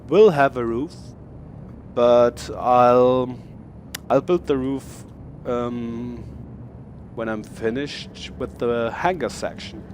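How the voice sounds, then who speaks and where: German man, halting game commentary. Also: medium pitch, slight background noise. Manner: halting, many fillers and repeated words. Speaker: gamer showing his build. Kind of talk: casual game showcase commentary.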